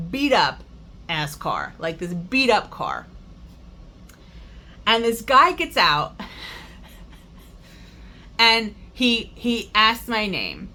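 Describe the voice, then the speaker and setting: A woman's voice: mid-range voice, bright, forceful tone. A woman vlogger chatting casually as she tells a travel story, full of fillers and asides. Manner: extroverted. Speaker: crafting vlogger.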